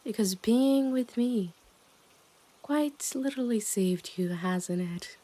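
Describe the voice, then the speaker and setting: A woman's voice: on the high side, slow, mellow. A woman playing a possessive, smug yandere kidnapper in an intimate ASMR roleplay, teasing and condescending as she often dips into whispers. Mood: gloating.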